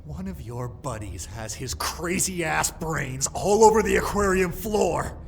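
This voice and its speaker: Man acting a brash, slow-paced young vigilante. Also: fairly high pitch, expressive, vocal fry, a little hiss or hum. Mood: defiant, angry. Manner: brash, sarcastic. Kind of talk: comic dub dramatic voice acting. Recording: voice under loud background music.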